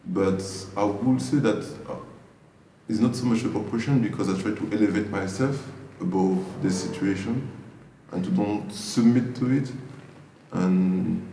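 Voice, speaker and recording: Deep gravelly French-accented man, roomy sound. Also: dark tone. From Guadeloupe. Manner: slow, thoughtful, restarts phrases while searching for words. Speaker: artist interviewee. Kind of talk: reflective interview answers. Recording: roomy echo, distant mic, faint hiss.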